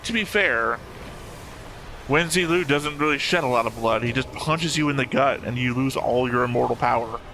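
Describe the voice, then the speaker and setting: A man's voice: mid-range voice, moderate pace, pushed and bright, slight background noise. A man giving casual, wry and opinionated reaction commentary on a show. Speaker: anime reaction host.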